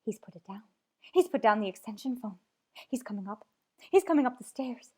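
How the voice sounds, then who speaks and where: A woman's voice: a little high, animated, a little fast, warm tone, breathy edge. A woman playing a nervous, imperious invalid in an old-time radio thriller, agitated and pleading. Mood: desperate whispering pleas. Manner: demanding, agitated, breathless pleading.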